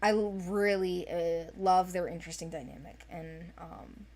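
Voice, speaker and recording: A woman's voice: fairly low pitch, fairly even intonation, normal speed, darkish tone. A woman chatting casually about fictional characters, rambling and correcting herself with enthusiasm.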